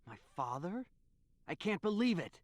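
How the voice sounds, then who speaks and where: An earnest nineteen-year-old game hero. His boyish voice is very animated, leaping up and down, hesitant and incredulous, recorded close and dry. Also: high-pitched, moderate pace, no echo. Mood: shocked disbelief. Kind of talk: video game cutscene acting.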